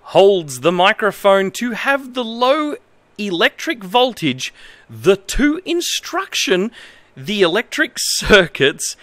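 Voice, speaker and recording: A man's voice: high voice, very animated, takes it slowly, fairly bright tone, dry recording. An Australian man in his thirties, a streamer talking casually and reading aloud in an amused, emphatic way. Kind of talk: casual livestream, halting comedic read-aloud. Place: indoor room at a desk.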